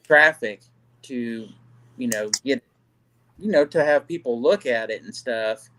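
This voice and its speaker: Man from Kansas, live-stream guest, average pitch, halting with lots of "you know.". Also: fairly bright tone, poor audio. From Kansas City, Kansas. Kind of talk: casual live-stream discussion.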